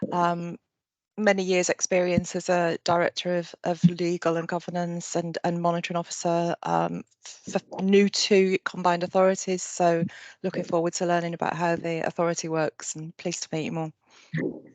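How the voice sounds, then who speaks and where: A woman in a senior legal role introducing herself briefly and politely to a meeting by video call, in a brisk, businesslike way. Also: fairly low pitch, flat delivery, a little mellow, no echo. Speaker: director of law and governance.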